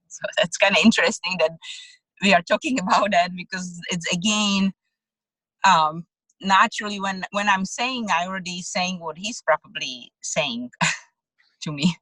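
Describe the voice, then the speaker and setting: A woman whose first language is Czech talks slowly over a video call, pausing and laughing softly between thoughts. Her voice is bright and forward, and the sound is dry and close. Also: on the low side, no room sound, poor audio. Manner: hesitant, many fillers and laughs. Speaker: parent in a book club. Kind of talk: casual group discussion.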